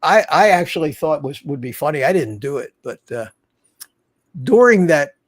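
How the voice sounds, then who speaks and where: A man's voice: a little high, expressive, normal speed, dry recording. A man in his seventies hosting a casual livestream from his home studio, rambling and restarting his sentences as he chats. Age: 70s. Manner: chatty, rambling with many restarts. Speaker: livestream host. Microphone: studio desk mic.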